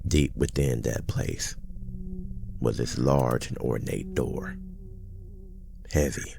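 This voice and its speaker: Man playing a very deep, gravelly old country storyteller. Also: music in the background, exaggerated voice. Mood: eerie, measured. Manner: slow, folksy, deliberate dramatic pauses. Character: older small-town man recounting an eerie secret past. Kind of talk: ASMR horror roleplay storytelling.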